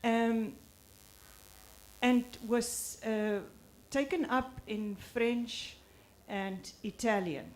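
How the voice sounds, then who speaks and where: Slow-speaking South African woman author. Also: a little high, a little mellow. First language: Afrikaans. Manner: pauses often, halting with fillers. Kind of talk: reflective talk at a book presentation.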